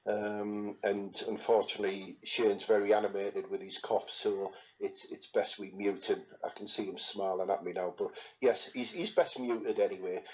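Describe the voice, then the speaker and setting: A man with a lowish voice chats loosely through a live stream, pausing often on ums and uhs. The audio is dull and lo-fi, like a home web stream. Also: slight background noise. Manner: relaxed, chatty, many fillers. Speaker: spirit medium hosting a live Q&A. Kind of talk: casual live-stream chat.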